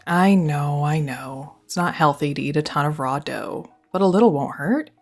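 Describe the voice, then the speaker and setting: A woman's voice: low-pitched, normal speed, soft background music. A young woman performing a scripted girlfriend roleplay, speaking close to the mic in an affectionate, teasing and reassuring way.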